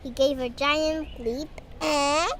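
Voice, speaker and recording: A young girl reading a storybook aloud in a halting way, stumbling over words. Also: high-pitched, fairly even intonation, very noisy. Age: child. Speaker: young reader.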